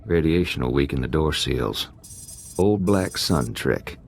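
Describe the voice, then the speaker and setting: Very deep-voiced, easygoing prison thug. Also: male voice, lively intonation, a little slow, creaky, breathy edge, exaggerated voice. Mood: matter-of-fact. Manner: clipped casual phrasing, deferential to the boss. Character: rough, easygoing male prison gang thug, cheerfully menacing.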